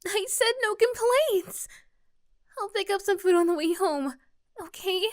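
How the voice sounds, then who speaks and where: A woman's voice: extremely high pitch, a little slow, no echo, studio quality, voice pushed up. A woman playing a bossy but caring young tsundere friend in an ASMR roleplay, insistent, fussing and tender. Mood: worried, insistent.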